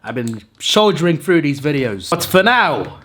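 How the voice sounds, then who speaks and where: A hoarse man who is under the weather, hosting a channel and tallying wrong viewer tips with mock exasperation. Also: a little high, sing-song, normal speed, a bit bright, slight background noise. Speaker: channel host. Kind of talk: casual commentary reading out viewer tips.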